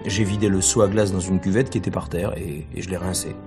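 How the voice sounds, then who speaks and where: An actor playing a cool, guarded stage actor who is politely answering questions in a radio mystery play. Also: male voice, low voice, steady tone, fast talker, over music, lightly acted. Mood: cooperative, guarded. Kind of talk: French radio mystery play acting in 1950s manner.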